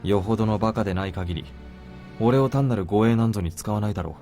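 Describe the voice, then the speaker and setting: A man's voice: fairly low pitch, very fast, dark tone, natural voice. An actor playing a cool, confident young male soldier-assassin in a Japanese visual novel, terse and nonchalant. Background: game background music.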